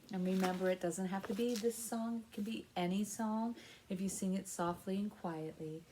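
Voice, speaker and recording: A middle-aged woman leading a baby story time as a children's librarian, explaining things simply and encouragingly to parents in a sing-song way. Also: mid-range voice. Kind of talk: instructional talk to parents with songs and rhymes. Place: small indoor room with children's alphabet backdrop.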